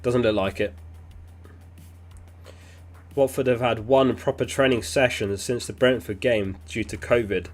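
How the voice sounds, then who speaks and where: A man hosting a casual livestream, reading viewer messages aloud in a relaxed, chatty way. He is sniffly and keeps clearing his throat. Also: medium pitch, unhurried, pauses often, faint music behind. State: sniffly, getting over an illness. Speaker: fantasy football livestream host.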